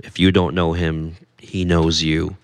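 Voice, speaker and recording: Podcast guest guy, super deep monotone voice, earnest, keeps repeating words. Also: unhurried, smooth. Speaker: guest speaker. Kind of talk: casual podcast chat.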